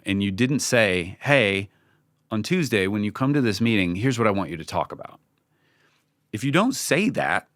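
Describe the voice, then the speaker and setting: A man's voice: lowish voice, fairly bright tone, no room sound, clean studio sound. A man speaking informally and candidly as a team leader in a podcast interview, often acting out bits of conversation. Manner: informal, candid, often acts out quoted remarks. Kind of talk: casual conversational interview talk.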